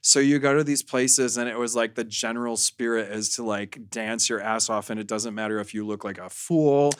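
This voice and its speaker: A man speaking as a podcast guest, telling candid stories in a casual, halting way with lots of "like". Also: medium pitch, a little hiss or hum.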